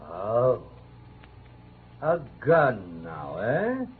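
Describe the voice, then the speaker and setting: A man's voice: fairly low pitch, wildly swooping pitch, very slow, full character voice. A sly, urbane colonel of a crook, patronising and full of polished courtesy. His voice swoops widely in old-time radio style, on a tinny recording with some hiss. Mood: wary. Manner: urbane, drawling endearments, mockingly polite. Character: suave, sly, patronising gentleman swindler, a colonel. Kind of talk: 1940s-50s radio drama acting.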